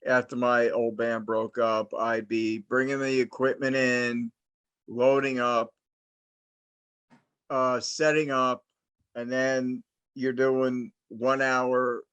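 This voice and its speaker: Slow, rambling middle-aged man from New Jersey. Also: medium pitch, little pitch movement, a bit bright, clean tone, no room sound. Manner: rambling, many restarts and fillers. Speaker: host, interviewer. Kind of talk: casual interview chat, long storytelling.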